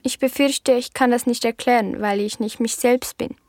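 A woman's voice: on the high side, steady tone, normal speed, a little mellow, no echo, studio quality, lightly acted. A woman playing a confused, apprehensive girl, speaking hesitantly and earnestly in a literary audio drama.

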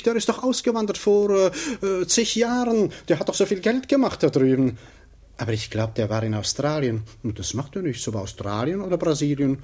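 A man's voice: on the high side, a little mellow, slightly rough. A man playing a pompous, fretful everyman who mutters to himself in a 1950s radio comedy monologue, swinging between grand hopes and grumbling doubt. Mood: excited. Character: pompous, fretful everyman daydreaming aloud of riches and status.

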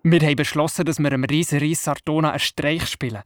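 A performer playing an excitable young boy who retells his dream vividly and naively in a children's radio play. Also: mid-range voice, fast, close to an everyday voice. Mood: mischievous. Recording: music behind the voice. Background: music.

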